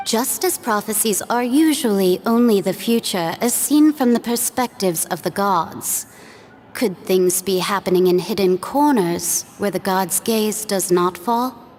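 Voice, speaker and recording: A woman voicing a calm, mysterious woman guide in a video game, speaking unhurried with a playful edge. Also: medium pitch, a little hiss or hum, natural voice. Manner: unhurried, softly teasing. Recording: long hall reverb.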